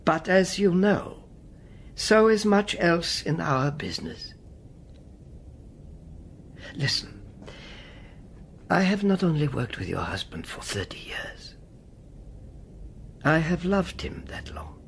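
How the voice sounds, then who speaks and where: An older Czech spymaster, a courteous, wistful man in a radio drama. He persuades slowly and musingly with a lively melody and frequent near whispers. There is a faint hiss and rumble behind him. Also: exaggerated voice. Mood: grave, pleading. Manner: deliberate, musing, often dropping to near whisper.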